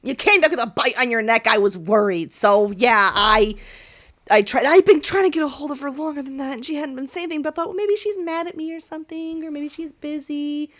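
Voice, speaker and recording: A woman's voice: high-pitched, brisk, a bit bright, voice pushed up. A woman voicing a cagey, easily embarrassed winged bird familiar in a tabletop game, defensive and sheepish. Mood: flustered, defensive.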